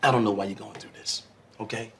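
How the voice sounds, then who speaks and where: An actor playing a smooth-talking, evasive preacher husband in a screen drama, coaxing and reassuring his wife. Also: high voice, animated, fairly quick, a little hiss or hum. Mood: placating.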